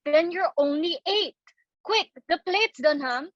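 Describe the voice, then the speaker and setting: A woman's voice: extremely high pitch, lively intonation, a little slow, highs cut off, a little hiss or hum. A woman playing an anxious, earnest young society daughter in a dramatic reading of a stage comedy, with a pleading, theatrical delivery.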